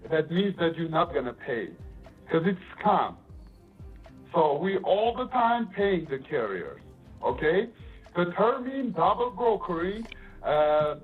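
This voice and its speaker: A man with an Eastern European accent and broken English, a freight broker calling to argue aggressively. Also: high voice. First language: not English. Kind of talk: confrontational phone call. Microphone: phone. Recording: tinny phone line.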